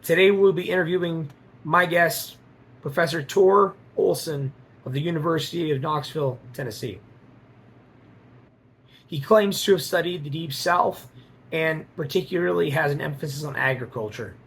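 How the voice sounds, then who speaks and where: A man hosting an interview, talking in a halting way and often repeating words as he stumbles through his questions. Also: fairly high pitch, creaky, a bit bright. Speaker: interview host. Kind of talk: casual interview. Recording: echoey room with background noise.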